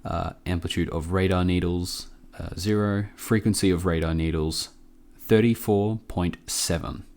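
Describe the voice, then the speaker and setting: A man with a low voice walks through a software tutorial casually. He sounds a little under the weather and talks in an offhand, halting way, close to the mic. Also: steady tone. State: sick with a cough. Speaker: tutorial presenter. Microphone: close microphone.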